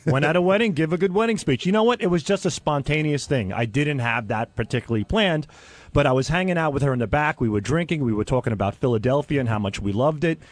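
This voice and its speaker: A man's voice: fairly high pitch, lively intonation, fast, no echo. A man in casual radio banter, talking in a brash, crude and defensive way on a close studio mic. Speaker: show regular telling his side.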